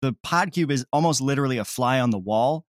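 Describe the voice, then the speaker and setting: A man's voice: mid-range voice, quick pace, no echo. Guy guest explaining his craft, mid voice, casual, dry studio sound. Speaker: audio comedy creator, interview guest. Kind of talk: casual interview chat.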